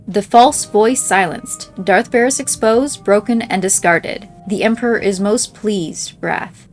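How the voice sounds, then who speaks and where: A woman reading written in-game messages aloud as a scripted narration. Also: mid-range voice. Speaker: game playthrough creator reading in-game mail aloud. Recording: game music behind the voice. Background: game music.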